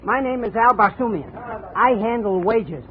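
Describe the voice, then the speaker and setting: An actor playing a sly, nosy, streetwise small-time bookie with slangy, clipped speech, in 1950s radio drama style. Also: male voice, high voice, animated, normal speed. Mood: sly, matter-of-fact. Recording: thin, tinny, noisy 1950 radio recording.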